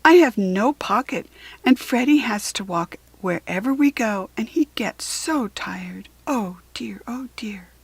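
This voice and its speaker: A woman acts out a mother kangaroo from a children's storybook, sad and earnest. Her voice is husky and swings up and down as she pleads, close and dry. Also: fairly high pitch, lively intonation, measured pace, no echo, heavily acted. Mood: tearful pleading.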